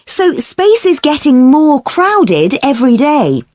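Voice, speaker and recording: A woman playing a lecturer, giving a scripted academic lecture in a measured, explanatory way. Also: high-pitched, big pitch swings, mellow, no room sound, clean studio sound, exaggerated voice.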